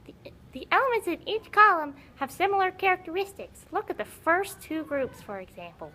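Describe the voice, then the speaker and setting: A woman's voice: very high voice, sing-song, moderate pace, pushed and bright, heavily acted. A woman voicing a grumpy, conceited old talking puppet who lectures impatiently in an educational puppet show. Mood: lecturing, haughty. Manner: haughty, exasperated, scolding, lecturing.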